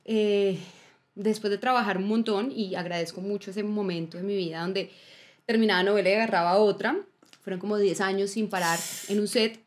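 A woman, an actress speaking as a podcast guest in a studio on a boom-arm microphone, talking earnestly with many fillers. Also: fairly even intonation, brisk. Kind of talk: casual podcast chat. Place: indoor studio with blue backdrop. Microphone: studio mic on boom arm.

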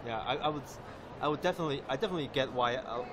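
A man in his thirties presenting a casual live stream, with halting phrasing and repeated words. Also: mid-range voice, a crowd in the background. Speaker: live stream reporter and host. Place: large indoor exhibition hall. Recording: clear noise of busy expo hall. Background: busy trade fair ambience.

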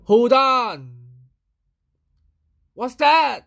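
A woman's voice: fairly high pitch, big pitch swings, very slow, a bit bright, voice pushed up, heavily acted. A woman voicing a fussy, dramatic little boy from a storybook, exclamatory and easily exasperated. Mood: alarmed, indignant. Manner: exclamatory, indignant.

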